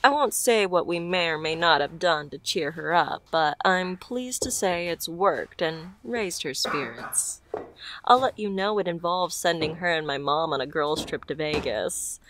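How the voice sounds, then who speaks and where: Woman doing a flirty mafia boss lady for asmr roleplay, low voice, takes her time, teasing and smooth. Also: animated, fairly bright tone, slight background noise. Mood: fond, smug. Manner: teasing, smooth-talking, unhurried.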